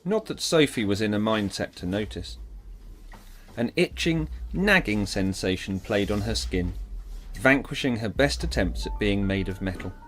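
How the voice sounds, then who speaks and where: A man's voice: fairly low pitch, animated, normal speed, a bit bright, faint music behind. A man narrating a scripted audiobook drama in a theatrical, emphatic storytelling manner.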